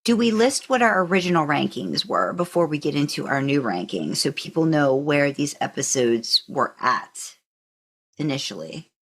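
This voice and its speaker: A woman's voice: low voice, expressive, normal speed. A woman co-hosting a podcast in casual, conversational chat. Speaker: podcast co-host.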